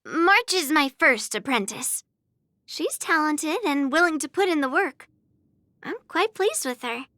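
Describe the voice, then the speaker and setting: A woman voicing a spirited, confident young girl swordswoman in a video game, brash and expressive. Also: sits high, a little slow, dry recording, clean studio sound, full character voice. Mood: pleased, musing. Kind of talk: video game character voice lines.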